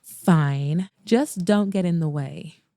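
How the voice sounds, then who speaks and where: Woman acting a guarded, mellow-voiced club boss. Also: on the low side, slow, no room sound. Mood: reluctant. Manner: dry, reluctant. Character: guarded, blunt young woman running a jazz club.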